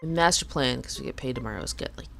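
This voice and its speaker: A young woman with a low voice and a bright, forceful edge rambles through casual game commentary. She is coming down with a cold, and faint game music sits behind her. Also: fast, creaky. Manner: rambling, chatty, self-mocking. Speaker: let's play host.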